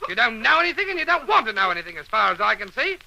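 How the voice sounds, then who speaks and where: An actor playing a fussy, exasperated, stammering schoolmaster in an old-time radio comedy. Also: male voice, very high-pitched, very animated, racing, gravelly, pushed and bright, a little hiss or hum, full character voice. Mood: scolding. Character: flustered, exasperated old-fashioned male schoolmaster. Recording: vintage radio broadcast recording.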